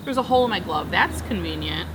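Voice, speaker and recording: A woman's voice: fairly low pitch, animated, fast, noisy background. A woman in her thirties chatting casually as she repots plants outdoors on a backyard patio, recorded by a camera across the table. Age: 30s. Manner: chatty, upbeat, talks while working with her hands. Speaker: plant vlogger. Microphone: camera framing from across a table.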